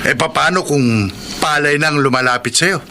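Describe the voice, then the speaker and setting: A man's voice: fairly high pitch, normal speed, noisy background, lightly acted. An actor playing a worldly security guard in a radio drama, teasing and goading his buddy. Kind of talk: radio drama acting in Tagalog.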